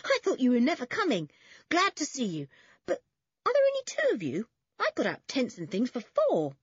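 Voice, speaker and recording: A woman voicing an eager, bossy, hearty farm boy in a children's storybook, in a breezy, shouting manner. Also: sits high, huge pitch swings, a little slow, no room sound, dull audio, pitched above the performer's own voice, heavily acted. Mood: breathless welcome.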